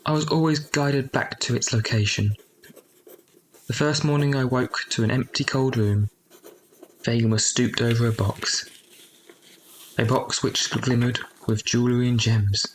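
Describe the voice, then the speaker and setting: An actor playing a grown orphan man recalling his boyhood among thieves, in reflective, measured first-person audio drama narration. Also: mid-range voice, fairly even intonation, slight background noise, lightly acted, poor audio.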